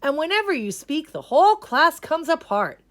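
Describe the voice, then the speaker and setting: Stern female principal, done by a woman storyteller: bossy, firm, emphatic, swinging widely in pitch for a children's storybook. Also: very animated, normal speed, a bit bright.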